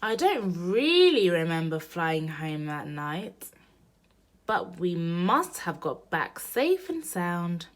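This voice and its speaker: A woman with a slightly low voice reads a children's picture book aloud. She takes her time over each phrase, and her pitch rises and falls in an animated, storytelling way. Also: slow. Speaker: storybook reader.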